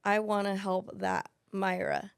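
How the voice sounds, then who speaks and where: A woman in her thirties whose first language is Spanish speaks in a gravelly, creaky voice. She talks thoughtfully in a casual interview, with many fillers and restarts, recorded dry and close. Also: medium pitch, level tone, unhurried, no echo. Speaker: financial aid specialist.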